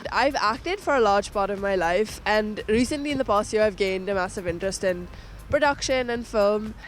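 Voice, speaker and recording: A woman's voice: mid-range voice, moderate pace, a little hiss or hum. A young woman from India introducing herself casually to camera in an upbeat, chatty way. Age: young adult. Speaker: news intern introducing herself.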